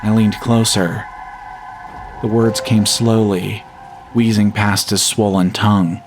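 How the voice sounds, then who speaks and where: A man narrating a scripted first-person horror story in a measured, solemn storytelling manner. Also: lowish voice, little pitch movement, background noise. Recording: music bed behind the voice. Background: atmospheric music.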